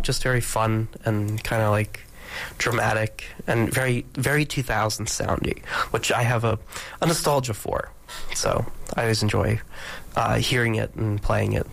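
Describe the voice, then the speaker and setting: A man's voice: medium pitch, normal speed, vocal fry, a bit bright, slight background noise, poor audio. A male radio DJ talking casually between songs, congested from allergies, rambling with many ums and restarts. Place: radio studio.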